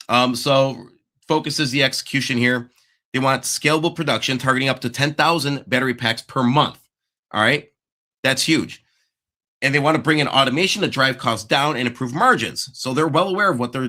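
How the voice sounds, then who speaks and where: A man's voice: medium pitch, sharp tone. A man hosting a casual stock analysis livestream, chatty and self-interrupting, saying he is losing his voice. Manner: chatty, self-interrupting, checks the live chat. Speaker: stock market show host.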